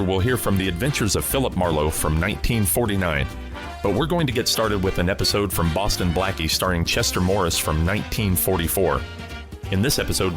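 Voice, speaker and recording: Low-voiced male radio host. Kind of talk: scripted radio show introduction. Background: music with guitar.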